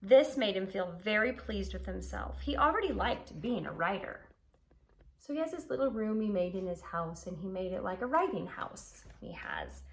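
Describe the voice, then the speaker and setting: A woman with a mid-pitched voice speaks as a teacher to young pupils. She explains in simple words, repeats key points and reads aloud. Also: expressive, moderate pace.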